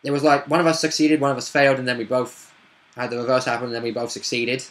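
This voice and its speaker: Casual male storyteller, a tabletop player retelling a session off the cuff, halting and self-correcting. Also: medium pitch, level tone. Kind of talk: casual unscripted game recap.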